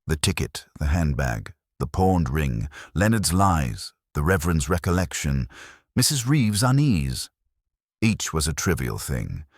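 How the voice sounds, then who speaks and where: Very deep-voiced man narrating a mystery story. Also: no echo, studio quality.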